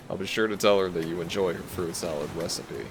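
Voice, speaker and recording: A man playing a gentle young dragon prince, a devoted boyfriend talking tenderly to the listener in a romantic audio roleplay. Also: low-pitched. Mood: tender, relaxed. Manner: tender, affectionate, soft-spoken reassurance. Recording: music behind the voice. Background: background music.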